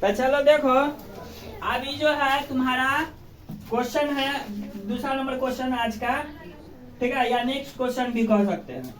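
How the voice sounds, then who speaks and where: A woman's voice: sits high, normal speed, pauses often. A woman with a Bihari accent teaching an informal school lesson, colloquial and exhorting, often asking rhetorical questions and checking that pupils follow. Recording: echoey room, distant microphone.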